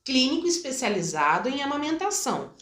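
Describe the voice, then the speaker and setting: Candid higher-voiced woman in an echoey room. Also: big pitch swings, a little fast, a crowd in the background. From Brazil. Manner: candid, wryly ironic, colloquial. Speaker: doula, interview guest. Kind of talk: casual interview conversation. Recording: roomy, echoing room sound.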